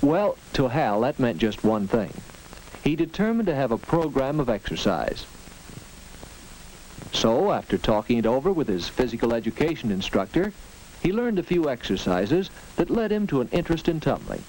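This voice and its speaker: A man narrates a vintage instructional film in an earnest, teacherly way. His voice rises and falls with lively expression. The old soundtrack carries a faint hiss and occasional clicks. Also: mid-range voice, normal speed, rough recording. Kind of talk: 1940s educational film narration.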